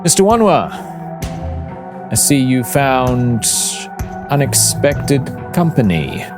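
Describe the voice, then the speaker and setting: A man voicing a sly, grandly polite fey gentleman in a tabletop fantasy game, with formal, flowery speech and drawn-out words. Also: mid-range voice, big pitch swings, music in the background, pitched above the performer's own voice. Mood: courtly intrigue.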